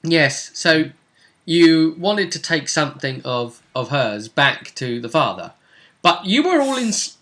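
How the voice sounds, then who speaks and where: Bright, lively-voiced man chatting as game master. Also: a little high, measured pace. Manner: conversational, checks with tag questions. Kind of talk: casual recap discussion of a tabletop role-playing game.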